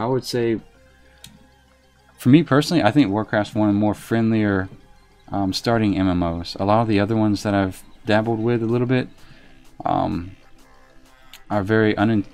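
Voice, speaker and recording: A soft-spoken man giving casual commentary as he plays a game live and answers his chat in a reserved, unhurried way. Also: lowish voice. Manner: reserved, unhurried, talks while playing. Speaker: live streamer.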